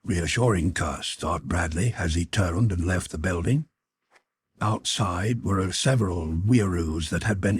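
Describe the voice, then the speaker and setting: Very husky low-voiced male audiobook narrator. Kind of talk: scripted literary narration.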